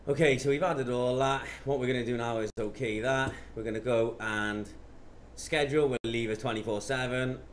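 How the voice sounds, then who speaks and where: A man, talking matter-of-factly through steps in an informal software tutorial. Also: mid-range voice, steady tone, normal speed. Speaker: presenter of product tutorials. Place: indoor office. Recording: clear background noise.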